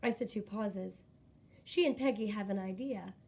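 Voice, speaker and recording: A woman reading a children's book aloud in expressive, scripted narration with deliberate, dramatic phrasing. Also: mid-range voice, creaky, husky.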